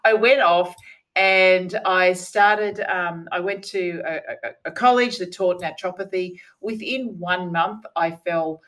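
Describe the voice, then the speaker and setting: A woman's voice: lowish voice, unhurried, fairly bright tone. A middle-aged woman with an Australian accent, chatting as a guest on an interview podcast, with fillers and self-corrections along the way. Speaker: guest, naturopath. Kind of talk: casual interview chat.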